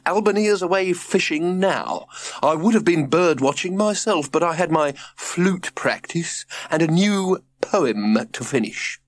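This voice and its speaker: A man's voice: a little high, animated, unhurried, voice pushed up. A man voicing an eccentric, fussy father in an audiobook, hesitant yet over-enthusiastic.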